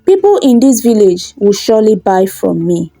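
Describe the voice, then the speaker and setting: A woman's voice: mid-range voice, big pitch swings, moderate pace, warm tone, no echo, faint music behind. A woman voicing a determined, hopeful, earnest orphan village girl in a folktale.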